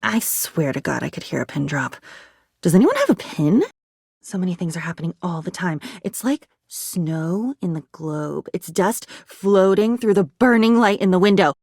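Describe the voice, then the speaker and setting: A woman's voice: lowish voice, lively intonation, normal speed, gravelly, a little mellow, breathy edge, no echo, clean studio sound, heavily acted. A woman voicing an unstable, anxious living diary in a video game, rambling and stammering as she swings between whispers and cries. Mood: scattered, brooding. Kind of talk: theatrical video game character monologue.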